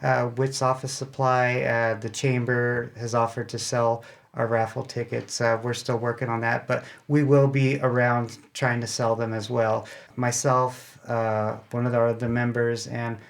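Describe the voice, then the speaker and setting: A man's voice: mid-range voice, little pitch movement, unhurried, gravelly. A man talking casually as an interview guest from a radio club, in a rambling, folksy way.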